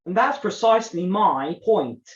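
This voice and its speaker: A woman voices an opinionated puppet follower in a comedy. The delivery is slow and varied in pitch, with dull, echoey call sound. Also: animated, natural voice. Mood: insistent. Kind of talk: scripted puppet comedy dialogue. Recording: roomy, slightly dull online-call sound.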